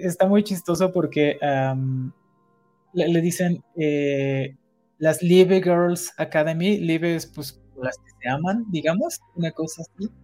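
Casting: deep-toned woman, Mexico City Spanish accent, easygoing podcast co-host who laughs a lot. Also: mellow. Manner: chatty, laughs often, trails into asides. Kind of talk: casual chat.

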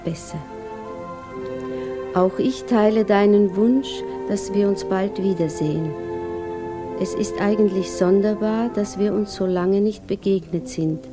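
Woman acting proper widowed mother, nervous, tender or indignant, mid-pitched, radio play, music underneath. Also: a little slow, natural voice. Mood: hesitant, composing. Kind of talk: German radio drama acting.

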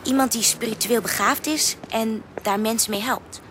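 A woman playing a hesitant, earnest character in a radio drama, halting and searching for words, with breathy drops in her voice. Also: a little high, steady tone, natural voice. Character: hesitant, earnest woman recommending a spiritual helper. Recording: clear background ambience noise.

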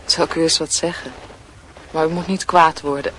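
A woman's voice: low-pitched, fairly even intonation, normal speed, fairly bright tone, low-quality recording. An actress playing a forthright young woman in a Dutch radio drama, blunt, teasing and insistent with her suitor. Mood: challenging. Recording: radio play with clear background noise.

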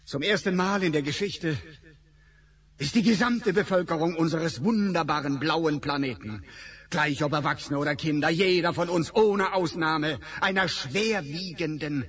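A high-pitched male announcer, played by an actor, rallies the public with grand, declamatory phrases. His delivery is solemn and ceremonial, like an official broadcast in a radio drama. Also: moderate pace, a bit bright, lightly acted. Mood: rousing. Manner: solemn, declamatory rallying speech. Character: male government announcer making rousing propaganda address. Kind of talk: radio play acting.

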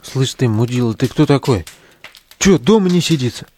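An actor playing a hot-tempered, crude young neo-Nazi relic digger in an audio drama, brash, slangy and sneering. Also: male voice, mid-range voice, animated, normal speed, darkish tone, slightly rough. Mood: irritated.

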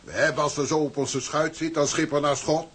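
A seasoned sea-tug officer in a radio play. His voice sits high for a man and rasps huskily. He speaks with salty, sardonic emphasis and working-class indignation. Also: fairly even intonation, brisk, a bit bright. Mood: hearty. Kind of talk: radio drama acting.

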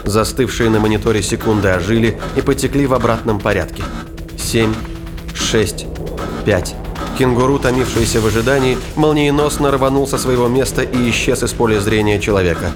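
A man's voice: medium pitch, moderate pace, over music. A man giving a scripted, dramatic narration of a science fiction story. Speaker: audiobook narrator.

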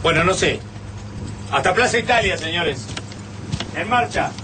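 An actor plays a pigheaded Argentine army major in a radio drama, high-voiced and condescending. He gives orders from some distance, with a noisy rumble around him. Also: expressive, a little slow. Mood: dismissive, commanding.

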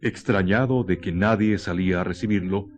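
Grave man narrating radio horror, lowish voice. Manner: grave, dramatic, deliberate pauses. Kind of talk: scripted radio drama narration, horror tale.

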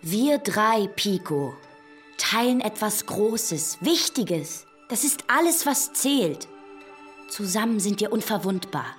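A woman playing an intense, idealistic young activist, speaking with earnest, measured conviction in a naturalistic radio drama. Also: medium pitch, sing-song, soft background music. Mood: earnest, resolute. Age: young adult.

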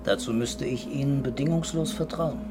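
An actor playing a calm, stubborn, laconic police detective in a radio crime drama, speaking tersely and with understatement. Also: male voice, mid-range voice, moderate pace, faint music behind, lightly acted. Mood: dry, courteous.

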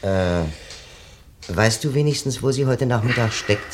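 A man's voice: medium pitch, measured pace, slight background noise, natural voice. An actor playing a sly bachelor friend in a vintage radio crime play, mockingly needling the husband about his wife.

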